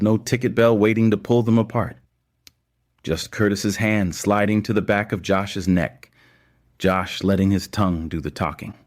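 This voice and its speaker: A man with a deep voice narrates a scripted story. His delivery is measured and literary, made for an audio anthology.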